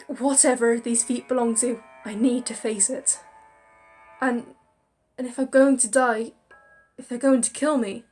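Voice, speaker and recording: A woman hosting a gaming video, reading visual novel narration aloud in an expressive, theatrical way. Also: on the high side. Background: game music.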